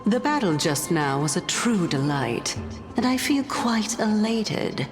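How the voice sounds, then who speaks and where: A woman's voice: mid-range voice, very animated, slow. A woman playing a mysterious, haughty and playful divine lady in a video game, with a languid, formal, teasing manner. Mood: pleased, sly. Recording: loud music behind the voice.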